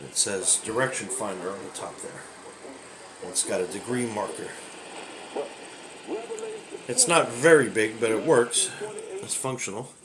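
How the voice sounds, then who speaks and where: A man with a somewhat low voice talks loosely and easygoingly, thinking aloud as he handles a device at home. A handheld camera catches him close up. A steady hiss of background noise runs under his voice. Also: a little slow, long pauses. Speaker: radio collector demonstrating a radio. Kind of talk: casual product demo. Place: home room with a window. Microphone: handheld camera close to the radio.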